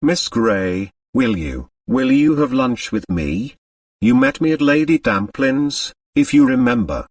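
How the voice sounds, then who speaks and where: A man's voice: mid-range voice, big pitch swings, unhurried, dry recording, far from the mic. A man playing a bitter, reckless man in an audiobook, with a brusque, mocking manner. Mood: curt, strained. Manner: sardonic, brusque. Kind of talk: audiobook character acting.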